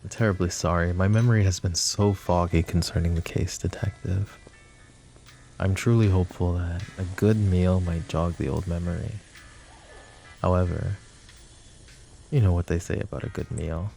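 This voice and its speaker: A man's voice: low voice, steady tone, normal speed, mellow, soft background music. A man voicing a suave, possessive mafia boss who flirts with the listener in a polished, courteous way that carries an undertone of menace. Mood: silky flirtatious. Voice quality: smooth, courteous, teasing menace. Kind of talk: roleplay audio drama, addressing the listener.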